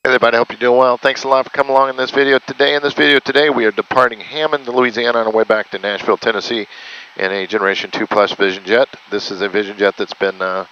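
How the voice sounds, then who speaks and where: Male pilot-host, brisk and bright, chatting casually to camera on muffled, slightly noisy cockpit audio. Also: mid-range voice, steady tone. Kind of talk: casual vlog talk to viewers.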